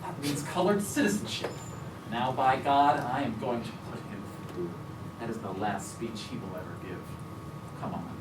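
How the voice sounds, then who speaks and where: A man's voice: mid-range voice, moderate pace, background noise, natural voice. A man playing a grieving, vengeful Confederate zealot in a musical theatre staged reading, speaking in a solemn, declamatory way. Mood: cold fury. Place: indoor restaurant staged reading. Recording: roomy live restaurant, distant mic.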